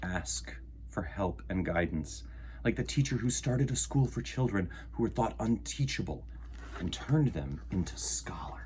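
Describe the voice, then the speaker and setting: Low-voiced man reading a storybook aloud. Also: lively intonation, measured pace, a little mellow, slight background noise, rough recording. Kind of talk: children's picture book read-aloud with chatty introduction.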